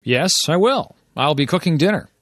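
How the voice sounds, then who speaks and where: A man's voice: fairly high pitch, expressive, moderate pace, no echo, clean studio sound. A man playing an easygoing, reassuring friend answering a call in scripted lesson dialogue for language learners.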